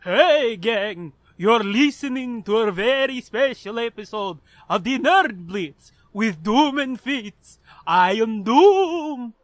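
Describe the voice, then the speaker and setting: A man co-hosting a casual podcast, joking crudely and laughing loudly between lines. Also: very high voice, animated, slow pace, hardly any pauses, no room sound. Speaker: podcast co-host. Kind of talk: casual comedic podcast banter.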